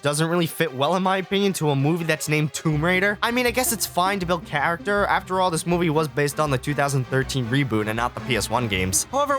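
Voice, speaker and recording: A man with a slightly high voice delivers casual review commentary over background music. His intonation swings widely as he gripes with exasperated sarcasm. He swears freely and talks like an online video host. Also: a bit bright.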